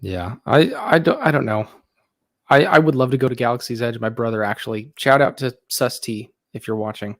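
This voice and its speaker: A man's voice: mid-range voice, lively intonation, brisk, creaky, dry recording, studio quality. A man appearing as a guest in a casual podcast chat, talking in a hesitant, laid-back way with repeated words.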